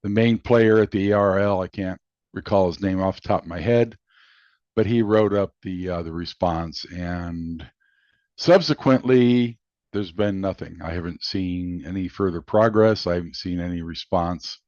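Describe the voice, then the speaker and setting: Low-voiced man in a dull lo-fi recording. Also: moderate pace, darkish tone, no echo. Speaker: video host and amateur radio hobbyist. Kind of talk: casual unscripted explanatory commentary. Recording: dull lo-fi sound.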